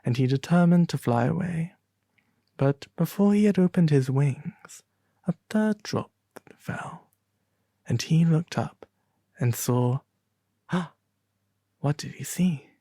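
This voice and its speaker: A man acts out a refined demon owl prince reading a fairy tale in soft ASMR style. The voice is mellow and unhurried, with theatrical poise. It sits close and dry, slipping into breathy asides. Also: fairly high pitch, no echo. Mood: gentle, wistful.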